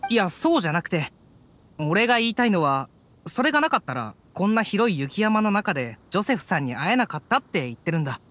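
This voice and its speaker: A man's voice: high-pitched, very animated, fast talker, a bit bright. A man playing an earnest young adventurer in anime-style game dialogue, telling his story expressively. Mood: reassuring.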